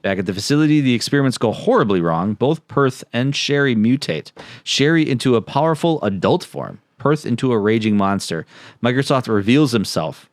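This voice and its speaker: A man's voice: mid-range voice, expressive, a little fast, no echo. A man co-hosting a podcast, bantering casually and reading out absurd plot summaries with mock-dramatic delivery. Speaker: podcast co-host.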